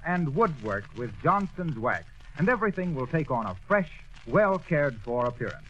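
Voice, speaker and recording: A male radio announcer reading a scripted commercial in a smooth, persuasive sales voice. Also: a little high. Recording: dull 1930s radio recording with faint hiss.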